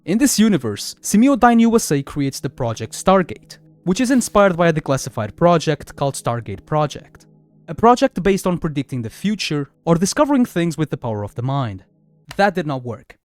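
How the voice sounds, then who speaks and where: Lively young man narrating horror lore. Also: a little high, normal speed, dry recording, soft background music. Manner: lively, chatty asides. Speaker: YouTube host. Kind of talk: scripted video narration.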